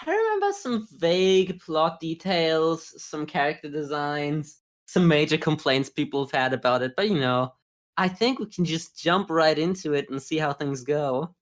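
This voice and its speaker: A man's voice: a little high, animated, moderate pace. Sarcastic man co-hosting a casual podcast. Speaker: podcast co-host. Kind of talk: casual, joking chat and reactions.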